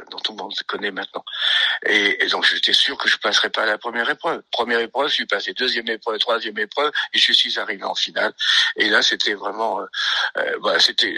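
An older man from Italy, a pianist, reminiscing in a radio interview, halting and searching for words with many fillers. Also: fairly low pitch, sharp tone, breathy edge, no echo, low-quality recording.

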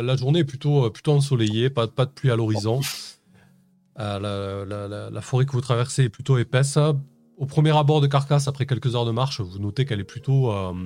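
A man with a fairly low voice narrates a tabletop roleplaying session as game master. He improvises haltingly, repeating words as he searches for the next one, with music playing underneath. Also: a little mellow.

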